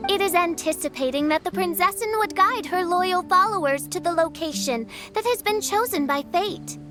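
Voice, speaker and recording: A woman's voice: extremely high pitch, moderate pace, over music. A woman voicing a grandiose teenage girl with princess-like airs, speaking in a florid, melodramatic, archaic manner for an anime-style game.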